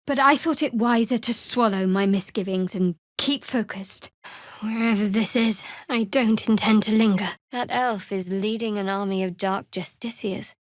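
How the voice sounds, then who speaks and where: A woman voicing a guarded, sardonic young female cleric in a fantasy video game, with a dry, measured, wry delivery. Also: medium pitch, no room sound, natural voice. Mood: wary, dry.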